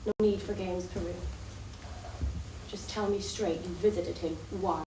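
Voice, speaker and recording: Actress as a bitter jealous lover, distant and echoey. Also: female voice, lowish voice, fairly even intonation, a little slow, close to an everyday voice. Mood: accusing, probing. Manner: probing, accusatory, rhetorical. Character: bitter, jealous live-in lover of an addict, confrontational. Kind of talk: theatrical stage play acting. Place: theatre stage, recorded from far off. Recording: distant echoey stage recording, faint noise.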